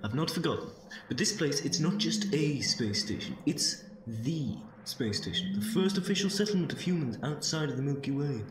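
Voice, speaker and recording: A man playing an enthusiastic time-travelling gentleman who explains wonders, in an audio drama. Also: on the high side, sing-song, normal speed. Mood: enthusiastic, reassuring. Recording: roomy, distant mic, faint noise.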